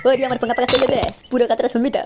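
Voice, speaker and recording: A woman's voice: on the high side, little pitch movement, very fast, background noise, natural voice. A woman voicing a chatty, joking village big-brother figure in a comic cartoon, friendly and helpful.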